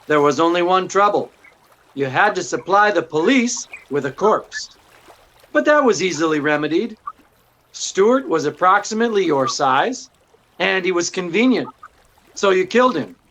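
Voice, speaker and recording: An actor playing a witty, self-assured detective, explaining things in an unruffled, dryly courteous way in 1940s radio drama style. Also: male voice, high-pitched, animated, moderate pace, fairly bright tone, slight background noise. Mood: explaining coolly. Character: witty, self-assured male amateur detective laying out his deduction.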